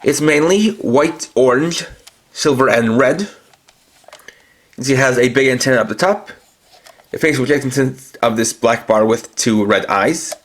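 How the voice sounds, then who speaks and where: A man reviewing toys, walking through each feature in a methodical, step-by-step way. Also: medium pitch. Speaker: toy reviewer. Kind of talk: toy review demonstration.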